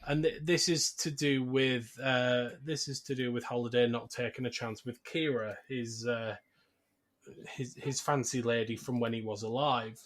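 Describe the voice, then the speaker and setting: A man co-hosting a podcast, talking through a film in a casual, conversational recap with quick acted asides. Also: medium pitch, steady tone, fairly bright tone. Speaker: podcast co-host.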